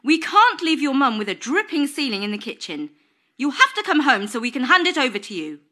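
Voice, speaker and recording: Man putting on a shrill, bossy nagging woman. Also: big pitch swings, a little fast, bright, forceful tone, full character voice. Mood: insistent. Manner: fussy, scolding, insistent. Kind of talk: storybook character reading.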